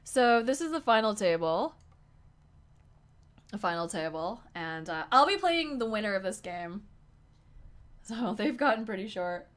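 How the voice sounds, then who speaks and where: A young woman in her twenties talks to her stream chat while playing online poker. She is excitable and giggly, and her voice is going hoarse. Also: medium pitch, measured pace, long pauses. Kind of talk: live-stream commentary to chat. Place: small room indoors at a desk.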